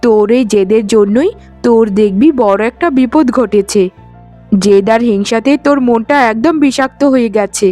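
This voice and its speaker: A woman's voice: a little high, moderate pace, warm tone, faint music behind, lightly acted. A woman voicing a caring, worried elder co-wife in a cartoon melodrama, speaking in a sisterly, earnest way. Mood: scolding.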